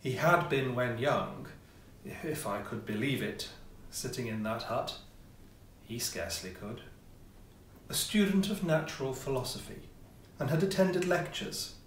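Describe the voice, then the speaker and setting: A man reading a classic ghost story as scripted narration, with measured, theatrical phrasing and pauses. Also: mid-range voice, animated. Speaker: audiobook reader, amateur player. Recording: roomy echo, distant mic, faint noise.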